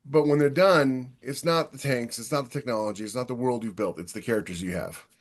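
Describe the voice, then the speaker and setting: A man's voice: mid-range voice, a little fast. A man hosting a casual podcast chat, making his points emphatically and with repetition. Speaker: podcast host and author.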